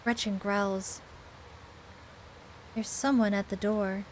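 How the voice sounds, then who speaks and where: A woman doing a chatty, expressive playthrough, reading a story game aloud and commenting as she goes. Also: medium pitch, slow, a little hiss or hum. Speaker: gamer host reading a visual novel aloud.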